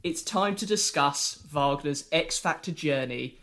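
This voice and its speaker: Wry podcast host, slightly high voice. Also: male voice, measured pace, fairly bright tone. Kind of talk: casual podcast chat.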